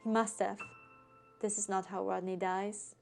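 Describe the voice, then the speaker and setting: A woman storyteller reading a story aloud in an expressive, theatrical way. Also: medium pitch, unhurried, vocal fry, soft background music.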